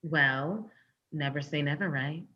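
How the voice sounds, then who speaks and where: A woman's voice: low voice, slow pace. A woman playing a candid, placating character in a comedic table read, speaking conversationally, on a slightly dull recording. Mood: friendly, confiding.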